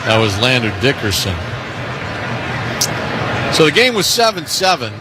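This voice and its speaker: An American man calling live football play-by-play on the radio, breaking into excited shouts on big plays. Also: mid-range voice, a little slow, noisy background.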